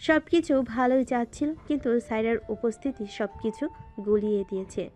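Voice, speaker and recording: A woman's voice: a little high, brisk, dark tone, faint music behind. A woman narrating a scripted romantic story in a dramatic style.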